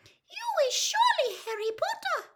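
A woman voicing a timid, devoted little female house elf servant in an audiobook, with a squeaky, quivering voice and servile, anxious manner. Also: sing-song, unhurried, raised voice, very noisy, full character voice. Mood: timid curiosity. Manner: servile, scolding, ungrammatical deference.

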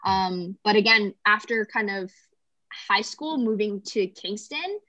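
A woman's voice: mid-range voice, lively intonation, slow pace, bright, forceful tone, no echo. Chatty young Canadian woman, dry sound. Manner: chatty, lots of "like" fillers. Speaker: interviewee. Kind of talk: casual conversational interview.

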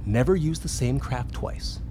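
A man's voice: mid-range voice, expressive, unhurried, warm tone, slight background noise, close to an everyday voice. A man playing an enthusiastic, sweary hacker researcher in a radio play, explaining things casually. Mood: excited, geeky. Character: excitable, laid-back hacker researcher, obsessed with mysterious transmissions.

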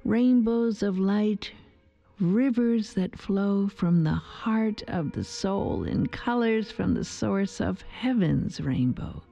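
Woman, meditation guide, soft soothing delivery, unhurried poetic phrasing, gravelly fry, dark warm tone. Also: mid-range voice, expressive, muffled recording. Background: soft music.

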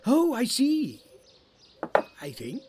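An actor playing a long-married, drily teasing older husband who grumbles in an audio play. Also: male voice, extremely high pitch, very slow, background noise, heavily acted. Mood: grumbling. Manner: grumbling, dry, deadpan.

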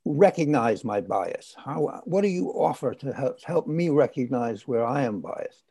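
A man's voice: medium pitch, lively intonation, measured pace, warm tone, husky. A man hosting a podcast, asking questions and affirming the guest with short interjections. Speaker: podcast host. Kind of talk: conversational interview.

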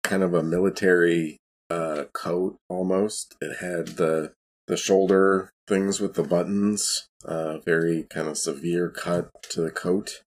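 A man playing a big, self-doubting man in a tabletop game, speaking hesitantly with a husky voice. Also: sits low, steady tone. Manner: hesitant, halting. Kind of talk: improvised tabletop role-play.

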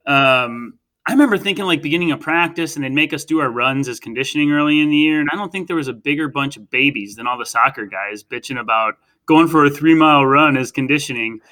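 A man's voice: on the high side, quick pace, bright, forceful tone. A man co-hosting an interview, chatting in a talkative, opinionated way and explaining his points at length. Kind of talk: casual interview chat.

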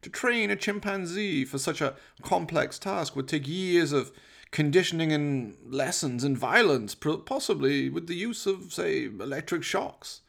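Pompous, sinister mad doctor, pitched up. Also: male voice, animated, measured pace, creaky, fairly bright tone, full character voice. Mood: pompous, musing. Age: 50s. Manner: theatrical, pompous, precise. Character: sinister, gloating middle-aged mad scientist doctor. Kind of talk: radio play villain acting.

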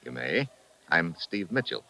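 Cocky low-voiced American secret agent. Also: male voice, sing-song, unhurried, bright, forceful tone, heavily acted, low-quality recording. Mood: businesslike. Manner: wry, teasing, breezy. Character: cocky, flirtatious American secret agent posing as foreign correspondent. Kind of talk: old-time radio drama acting.

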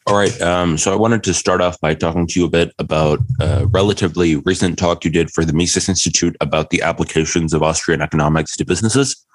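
A man's voice: deep voice, steady tone, fast talker, few pauses, no echo, rough recording. A man hosting an economics podcast, reading his introduction and questions from a script. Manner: reads prepared questions smoothly. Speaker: podcast host.

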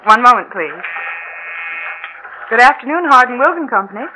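Polite switchboard girl, high bright voice, brisk formula delivery, old radio sound. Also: very animated. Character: brisk, polite female company switchboard operator. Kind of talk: 1940s radio acting. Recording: faintly noisy old radio recording.

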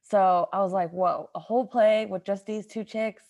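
A woman's voice: mid-range voice, steady tone, moderate pace, a little mellow. A woman chatting casually with a group as she hosts a podcast. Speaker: podcast host.

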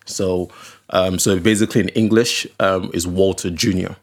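A young man from Nigeria, a podcast guest, talking in a relaxed, unhurried way with frequent ums and uhs. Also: low voice. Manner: relaxed, hesitant with fillers, laughs easily. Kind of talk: casual conversation.

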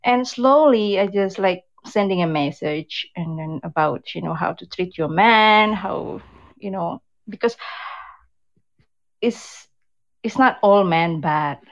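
A woman's voice: medium pitch, animated, slow, muffled recording. Indonesian-accented woman in her fifties. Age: 50s. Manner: candid, chatty, non-native grammar. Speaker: guest, relationship advice creator. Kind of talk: casual interview chat.

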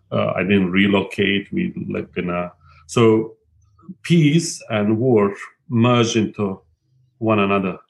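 A man in his thirties from Bosnia and Herzegovina, a native Bosnian speaker, recounting his childhood as an interview guest; he talks reflectively, with halting restarts. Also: lowish voice. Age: 30s. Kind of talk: personal testimony in an interview.

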